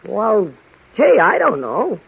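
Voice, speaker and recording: Eager, very high-voiced studio errand boy. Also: huge pitch swings, takes it slowly, vocal fry, slightly rough, heavily acted. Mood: awed, excitable. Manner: gushing, slangy. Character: eager, naive young male studio underling. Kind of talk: 1930s radio comedy acting. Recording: dull 1930s radio recording.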